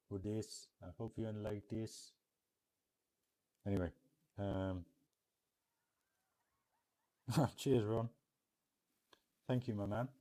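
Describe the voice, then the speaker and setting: Deep, mellow British man streaming casually. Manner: chummy, addresses viewers as mate and bud. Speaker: game streamer and host. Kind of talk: casual live stream chat over a theme park building game.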